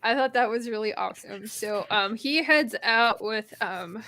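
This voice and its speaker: A woman's voice: on the high side, normal speed, sharp tone, a little hiss or hum. A woman hosting a book discussion, chatting informally and loosely as she retells and comments on a story.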